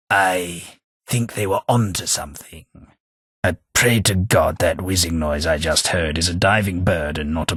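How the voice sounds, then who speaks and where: A centuries-old undead aristocrat with a British accent, drawling slow and dry in a posh, sarcastic way. His tone is bright and edgy with a husky grain. It is a close, dry studio recording with no room sound. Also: fairly low pitch, no echo, exaggerated voice. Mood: wry. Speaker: companion character. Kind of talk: game voice lines.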